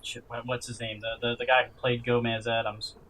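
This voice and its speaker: A man co-hosting a casual podcast, halting and sweary, often stammering and repeating his words. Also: medium pitch, completely flat delivery, fairly bright tone, slight background noise. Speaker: podcast co-host. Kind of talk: casual group chat about films and games.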